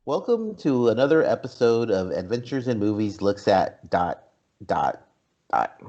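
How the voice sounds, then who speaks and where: A man's voice: fairly low pitch, big pitch swings, unhurried, dark tone, slight background noise. A man hosting a podcast, talking casually as he leads a film review discussion. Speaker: podcast host. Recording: dull, lo-fi podcast audio.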